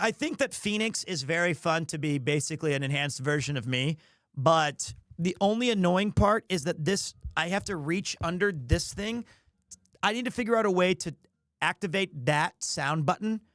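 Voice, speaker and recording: A man's voice: a little high, fairly bright tone, dry recording, studio quality. A man hosting a livestream, talking casually and jokingly. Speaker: livestream host. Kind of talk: casual stream chat.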